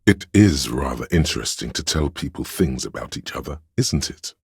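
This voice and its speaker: An actor playing an arty, grandiose inner voice of imagination in a video game, narrating theatrically and savoring each image in a gravelly, husky tone. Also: male voice, extremely low pitch, expressive, moderate pace, no room sound, clean studio sound, exaggerated voice. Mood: musing, impressed. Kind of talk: dramatic second-person game narration.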